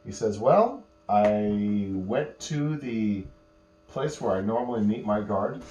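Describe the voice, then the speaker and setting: A man telling an improvised bedtime story to children, patiently explaining words and pausing mid-phrase as he goes. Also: fairly low pitch, animated, mellow, lo-fi sound. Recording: roomy echo, faint electrical hum.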